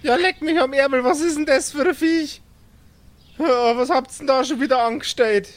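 A man's voice: very high voice, normal speed, soft background music, voice pushed up. A man voicing a worried, scolding mother who stammers with indignation in an improvised audio play. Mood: indignant.

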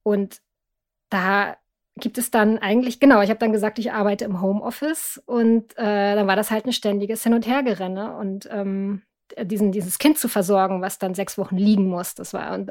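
A middle-aged woman giving a personal account as an interview guest, reflective and often pausing to search for words. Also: mid-range voice, a little mellow. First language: German.

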